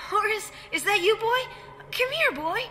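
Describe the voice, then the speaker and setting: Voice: extremely high pitch, expressive, a little slow. An actor playing an earnest young boy, a pleading little brother, in an audio drama. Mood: excited wonder. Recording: long hall reverb, noisy ambience behind.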